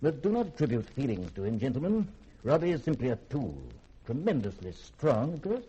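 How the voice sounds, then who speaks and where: An erudite recluse in a 1950s radio play. His medium-pitched voice is a little rough and moves in lively swings. He lectures formally, with haughty eloquence. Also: fairly quick, highs cut off. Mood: commanding, lecturing. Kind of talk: 1950s radio drama acting.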